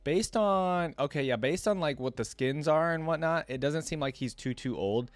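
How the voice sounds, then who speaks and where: A man's voice: a little high, animated, moderate pace, dry recording. A man giving casual reaction commentary as a video host, thinking aloud in an informal way. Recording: music playing behind.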